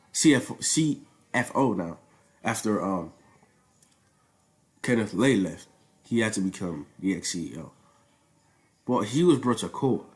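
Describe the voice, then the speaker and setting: A man's voice: mid-range voice, darkish tone. Man, Nigerian accent, young adult, casual presentation, slangy, emphatic repetition. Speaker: student presenter. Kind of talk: informal spoken project presentation.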